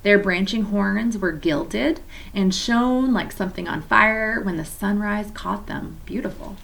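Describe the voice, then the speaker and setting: A woman reading a chapter book aloud to children for a church, adding gentle, chatty asides to her young listeners. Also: mid-range voice, measured pace, creaky, low-quality recording. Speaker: children's story reader at a church.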